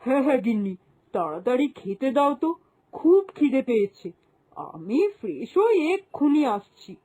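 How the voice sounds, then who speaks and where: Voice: high-pitched, big pitch swings, moderate pace, mellow. A performer voicing a weary, easygoing office-going husband in a moral-story cartoon, homely and plain in manner.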